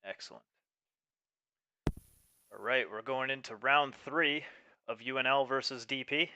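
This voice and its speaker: A man commentating live on an esports tank match as streamer, with a halting delivery that repeats words. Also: medium pitch, bright, forceful tone, a little hiss or hum.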